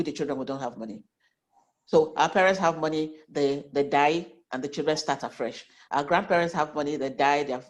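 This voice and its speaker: A woman in her forties, a financial planner with a heavy cold and a nasal voice, teaching an online class in an earnest way that often restarts words. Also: deep voice. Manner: earnest, repeats and restarts words while explaining. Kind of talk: online class, giving tips.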